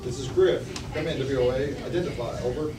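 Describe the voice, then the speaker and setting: A man's voice: mid-range voice, fairly quick, crowd noise, close to an everyday voice. A man reading the part of an anxious male spy posing as an aide, plainly and with stumbles. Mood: dutiful. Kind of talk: table read of a sci-fi script. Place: restaurant dining room table. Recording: distant, echoey, noisy restaurant.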